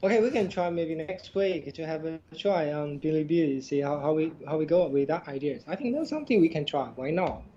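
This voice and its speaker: A man's voice: fairly high pitch, animated, fairly quick, hardly any pauses, warm tone, highs cut off. A man whose first language is Chinese co-hosts a podcast, speaking English hesitantly with many fillers. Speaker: podcast co-host. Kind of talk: casual podcast chat.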